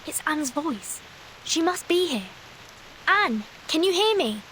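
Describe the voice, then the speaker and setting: Young female game investigator, high voice, calm, determined, terse delivery, light background hiss. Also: slow pace, heavily acted, poor audio. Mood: urgent. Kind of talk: video game voice acting.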